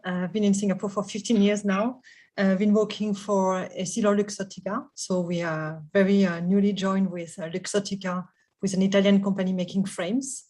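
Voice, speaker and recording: A woman's voice: medium pitch, level tone, brisk, darkish tone. A woman from France with a French accent, introducing herself to an online class with many hesitant fillers over a video call. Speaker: course participant, research manager.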